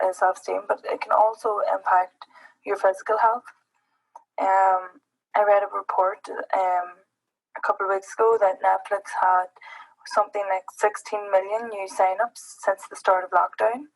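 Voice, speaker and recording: A woman's voice: medium pitch, vocal fry, poor audio. A woman from Northern Ireland with a Northern Irish accent, walking through an app's screens in a hesitant presentation full of ums. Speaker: presenter demonstrating an educational app.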